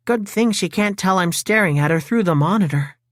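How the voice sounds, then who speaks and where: A woman voicing an eager, nervous teenage boy turtle inventor in a children's storybook, with excitable delivery. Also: medium pitch, a little fast, dry recording, clean studio sound, close to an everyday voice. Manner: excitable, exclaiming.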